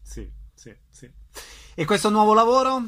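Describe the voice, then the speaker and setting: A man's voice: sits high, big pitch swings, moderate pace, a bit bright. A man interviewing a guest in a conversational radio style.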